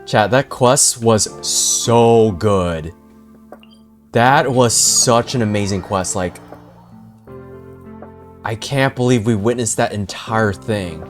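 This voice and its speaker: Low-voiced man, slangy game streamer. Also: takes it slowly, long pauses, faint music behind. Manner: slangy, sweary, awestruck. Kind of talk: casual livestream reaction.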